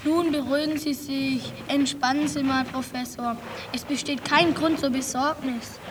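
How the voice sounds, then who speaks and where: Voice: high voice, fairly even intonation, moderate pace, background noise, close to an everyday voice. An actor in a German radio play voicing an imaginative child who play-acts grand roles theatrically. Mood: lofty calm.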